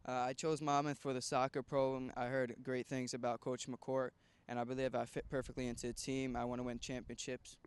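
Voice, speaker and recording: A man's voice: mid-range voice, flat delivery, brisk, few pauses, clear, resonant tone, dry recording. A young man from New Jersey speaking plainly into a handheld mic on an outdoor soccer field. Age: young adult. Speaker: college soccer recruit. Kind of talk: casual on-camera interview.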